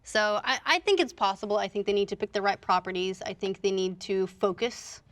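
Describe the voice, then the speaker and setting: Mid-pitched woman giving casual opinions. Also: a little fast, fairly bright tone. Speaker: panelist on a film and gaming discussion show. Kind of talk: casual discussion.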